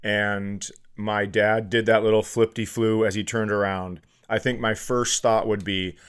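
A man's voice: on the low side, little pitch movement, a little slow, clear, resonant tone. A man co-hosting a comedy podcast, musing through hypotheticals in casual, self-deprecating chat with some easy swearing.

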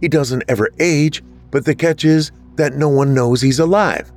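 A man voicing the ghost of an upset, excitable dead woman in a story. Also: on the high side, normal speed, faint music behind, voice pushed up, natural voice. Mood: amazed, urgent.

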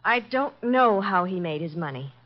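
An actress playing a nervous, evasive young woman under questioning in a 1950s radio drama, halting and pleading. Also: mid-range voice, lively intonation, close to an everyday voice. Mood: flustered. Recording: noisy, muffled vintage radio recording.

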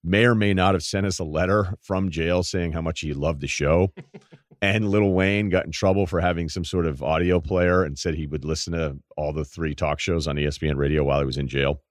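A man with a deep voice hosting a podcast, telling rambling stories in casual chat and laughing at his own anecdotes. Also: fairly even intonation, a little fast, no room sound, studio quality. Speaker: podcast host.

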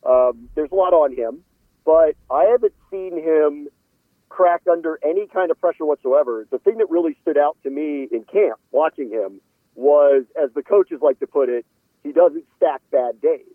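Male sports reporter guest on a phone-like line, middle-aged, slightly high voice, casual analysis. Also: moderate pace, no room sound. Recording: tinny narrow phone-like line.

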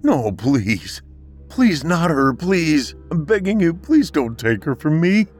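A man's voice: very animated, slow pace, soft background music. Man doing a desperate young husband. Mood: pleading. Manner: pleading, pitch rising.

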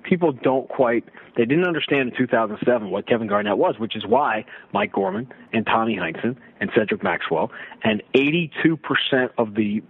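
A man talking sports casually as a broadcaster guest, telling stories and throwing out rhetorical questions in an animated way. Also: mid-range voice, moderate pace, vocal fry, breathy edge. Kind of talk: casual sports radio discussion. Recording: roomy, thin phone-like radio line.

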